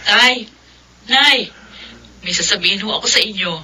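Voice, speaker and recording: A woman's voice: mid-range voice, moderate pace, sharp tone. A woman playing a dutiful, worried mother working overseas in a radio drama, earnest and anxious. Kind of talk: melodramatic radio drama acting.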